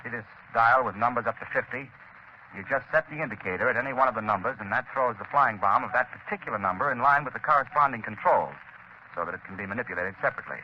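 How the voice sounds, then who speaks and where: Man playing a fast-talking army lieutenant. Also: medium pitch, lightly acted. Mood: explaining. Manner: crisp, polite, matter-of-fact explaining. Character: brisk, helpful male army lieutenant test pilot. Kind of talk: 1930s radio drama acting. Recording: muffled, noisy 1939 radio broadcast.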